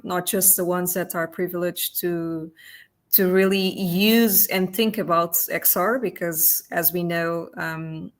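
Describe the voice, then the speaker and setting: Earnest woman panelist, low voice. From Portugal. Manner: earnest, searching for words with frequent restarts. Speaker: panelist, engineer. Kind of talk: panel discussion.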